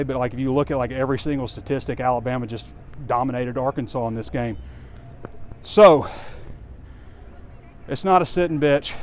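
A man in his forties giving candid, rambling sports commentary while walking outdoors, recorded on a handheld phone. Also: mid-range voice. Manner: candid, conversational, rambling with fillers. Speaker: sports site publisher. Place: outdoors on a campus street near a stadium. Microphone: phone camera held close while walking. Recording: faint outdoor street noise.

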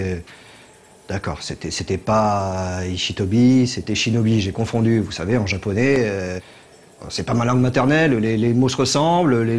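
A man's voice: medium pitch, darkish tone, slight background noise. A middle-aged man hosting in a studio, joking and backtracking with many restarts. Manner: ironic, halting, many restarts. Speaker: show host. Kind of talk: joking on-camera chat. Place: studio before a green screen.

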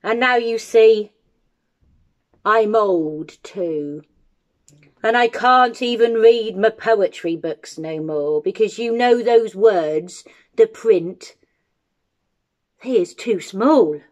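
A woman voicing a very old, toothless giant in a storybook, gruff and grumbling, with booming, rustic, ungrammatical speech. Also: slow pace, long pauses, darkish tone, highs cut off, lightly acted. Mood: glum, grumbling.

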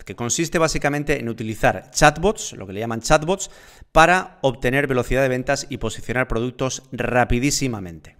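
A man, seated indoors and giving a steady, step-by-step tutorial on online selling. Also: mid-range voice, smooth. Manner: explanatory, steady, walks through steps. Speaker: online business instructor. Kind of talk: screen-share tutorial. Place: indoor room, seated at desk.

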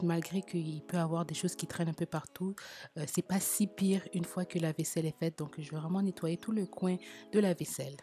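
A woman with a Quebec French accent giving a quiet, low-key voice-over narration. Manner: soft, lower and quieter.

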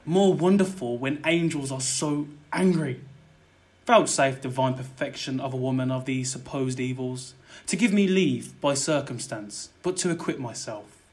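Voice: mid-range voice, a little slow, darkish tone, lightly acted. An actor playing a mockingly sweet-tongued killer in a theatrical verse audio drama. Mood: silkily mocking. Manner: theatrical, taunting.

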